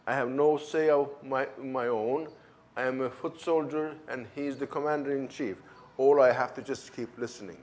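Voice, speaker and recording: A man's voice: medium pitch, steady tone, moderate pace, darkish tone. Fervent man with a German-Turkish accent. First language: not English. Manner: emphatic, fervent storytelling with acted-out dialogue. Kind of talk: personal testimony.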